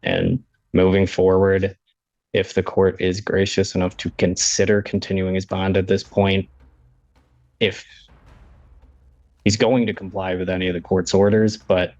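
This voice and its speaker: A man pleading in court as a defense attorney, halting and deferential. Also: deep voice, little pitch movement, darkish tone, no echo. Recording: dull, lo-fi courtroom audio.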